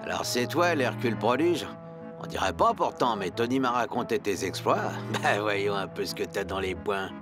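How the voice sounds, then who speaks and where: A man's voice: on the low side, lively intonation, moderate pace, over music, natural voice. A man playing a scheming, blackmailing fairground boss in a cartoon, with a wheedling, theatrical and menacing manner. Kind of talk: animated cartoon voice acting.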